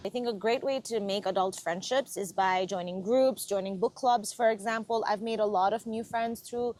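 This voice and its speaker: Young nepali woman vlogging, earnest and chatty, laughs a lot, average pitch. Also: moderate pace, a little mellow. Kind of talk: casual Q&A chat.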